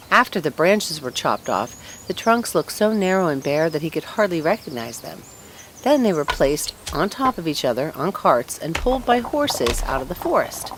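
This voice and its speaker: A woman narrating a children's story aloud in an expressive read-along style. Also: low voice, slight background noise.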